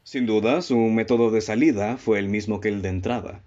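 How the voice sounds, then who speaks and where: A Mexican man acting in a student radio drama as a self-assured, methodical amateur detective who speaks in a formal, deliberate, theatrical way. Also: on the low side, mellow, natural voice. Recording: dull, muffled lo-fi audio.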